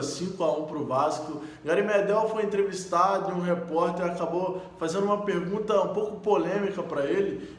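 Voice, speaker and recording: High-voiced Brazilian fan host, echoey room. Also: male voice, a little hiss or hum. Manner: eager fan, talks of the club as "our". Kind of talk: casual sports news roundup. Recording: roomy echo.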